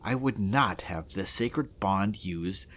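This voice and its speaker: Man playing a solemn, low-voiced lovelorn goblin warrior. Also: lively intonation, fairly bright tone, a little hiss or hum. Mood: earnest, resigned. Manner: formal, deliberate, heartfelt declarations. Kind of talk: fantasy audio drama acting.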